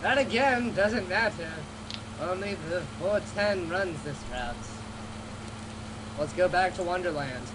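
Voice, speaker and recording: A man's voice: high-pitched, unhurried. A man co-hosting an amateur let's-play, mixing casual joking commentary with reading game text aloud. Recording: noisy amateur home recording.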